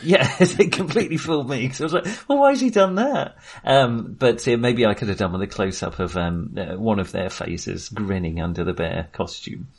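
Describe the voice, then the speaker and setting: A man's voice: on the low side, expressive, normal speed, few pauses, breathy edge. A man hosting a casual film discussion, wry and amused, rambling and hesitant as he trails off mid-sentence. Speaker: film podcast host.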